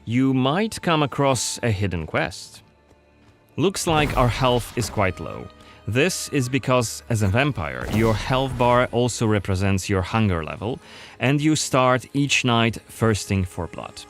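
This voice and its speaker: A man's voice: medium pitch, very animated, measured pace, soft background music. A man narrating a scripted gameplay showcase as its presenter.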